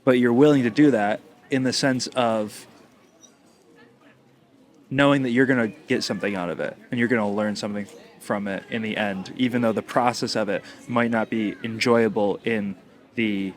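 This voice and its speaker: A man's voice: fairly low pitch, steady tone, normal speed. A man from the United States co-hosting a podcast, talking in long winding sentences with a teasing, thoughtful manner. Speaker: podcast co-host. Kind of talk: casual conversational chat.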